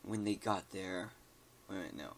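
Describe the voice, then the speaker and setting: A man's voice: deep voice, normal speed, creaky, slight background noise. A tired man casually reads a scary story aloud, stumbling over words and joking about them.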